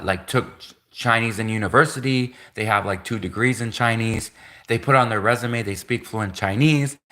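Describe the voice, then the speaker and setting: A man's voice: medium pitch, bright, forceful tone. A man hosting a livestream, talking insistently with lots of repetition and restarts. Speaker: livestream host. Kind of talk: livestream rant.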